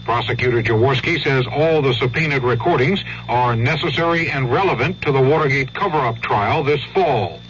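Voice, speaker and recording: A man's voice: medium pitch, normal speed, hardly any pauses, fairly bright tone. Male correspondent, muffled radio report. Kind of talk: scripted news report. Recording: dull noisy 1970s AM radio recording.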